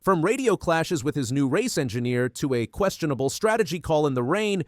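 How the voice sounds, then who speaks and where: Lively higher-pitched male news narrator. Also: brisk, dry recording, clean studio sound. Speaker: narrator of motorsport news videos.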